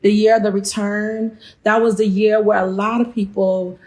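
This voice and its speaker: A woman hosting a casual chat show, hoarse after losing her voice, talking in a lively way and repeating phrases. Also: mid-range voice, moderate pace. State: hoarse, lost voice. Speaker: host.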